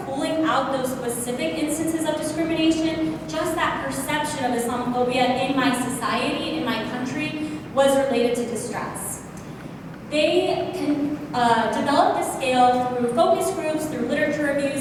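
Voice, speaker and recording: A woman presenting her research at a conference, earnest and full of fillers, with a voice she calls loud. She is heard in an echoey hall. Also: high-pitched. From United States. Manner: earnest, many fillers, self-aware about loud voice. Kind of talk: academic conference talk. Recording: echoey hall from far off, noisy.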